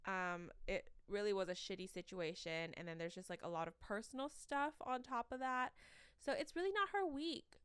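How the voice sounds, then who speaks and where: A young woman hosting a casual solo podcast from the corner of her bedroom, with a shrill voice, rambling and self-mocking between fillers, sleepy. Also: normal speed, a bit bright, clean tone, no room sound, studio quality. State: sleep-deprived. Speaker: podcast host.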